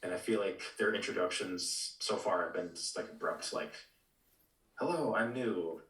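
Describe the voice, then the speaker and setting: A man chatting casually about video games as a podcast co-host, halting and tentative, often repeating his words. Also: deep voice, fairly even intonation, a bit bright. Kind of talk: casual video game discussion. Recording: roomy echo, distant from mic.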